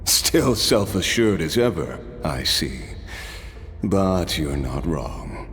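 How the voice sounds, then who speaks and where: A male actor playing an immortal, battle-hungry warrior in a video game, recounting his past in a measured, rueful but determined way. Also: low voice, very animated, fairly bright tone, exaggerated voice. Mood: wry, defiant. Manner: measured, reflective, dramatic pauses. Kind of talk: video game voice acting, dramatic storytelling.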